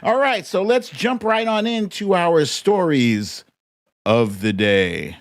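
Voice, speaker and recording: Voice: mid-range voice, lively intonation, slow. An American livestream host chatting casually, greeting viewers in a playful, slangy, informal way. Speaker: host of a live online show. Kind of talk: casual livestream chat with shout-outs to viewers.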